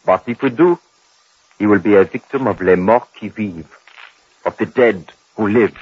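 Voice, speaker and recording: A man's voice: on the low side, slight background noise, close to an everyday voice. An actor playing a grave, superstitious Frenchman with a French accent, telling ominous tales in old radio horror style. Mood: solemn. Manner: ominous, deliberate storytelling. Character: grave, superstitious French villager warning of a vampire. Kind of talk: 1940s-50s radio horror acting. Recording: muffled old radio broadcast.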